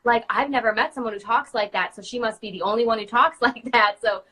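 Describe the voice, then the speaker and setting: Woman, fairly high voice, crisp bright tone, chatty, agreeable, casual video-call talk. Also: fast. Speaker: guest, character party performer. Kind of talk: casual chat.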